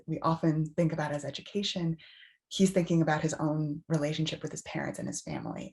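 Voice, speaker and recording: A woman facilitating a session and introducing video clips in a measured, explanatory way. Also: low-pitched, vocal fry, darkish tone.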